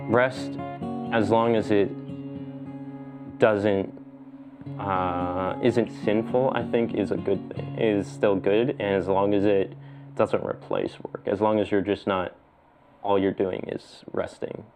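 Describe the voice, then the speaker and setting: A man talking haltingly in a casual faith discussion, with many pauses and "uh"s. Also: lowish voice, steady tone. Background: guitar music.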